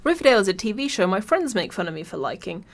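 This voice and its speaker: A woman's voice: mid-range voice, big pitch swings, racing. A woman reading a scripted video essay in an informal way, with wry, dry asides. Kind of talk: scripted video essay narration with casual humour.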